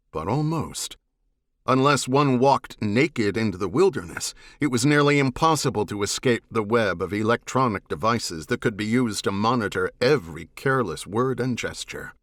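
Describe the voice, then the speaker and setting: A man reading dramatic fiction as an audiobook narrator, in a measured, serious manner. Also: medium pitch, expressive, a bit bright, dry recording, studio quality.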